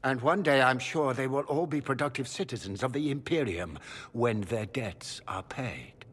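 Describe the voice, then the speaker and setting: A suave magister in a fantasy game, courtly and calculating. His husky voice rises and falls theatrically, with long reverb trailing behind. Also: medium pitch, lively intonation, normal speed, a bit bright. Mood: smugly persuasive. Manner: courtly, smooth-mannered, theatrical. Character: suave, calculating male magister, father of grown son.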